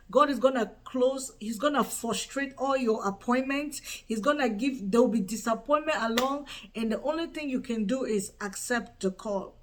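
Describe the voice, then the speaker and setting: A woman from Cameroon, giving an earnest personal faith testimony and repeating words for emphasis. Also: on the high side. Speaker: channel host giving a testimony.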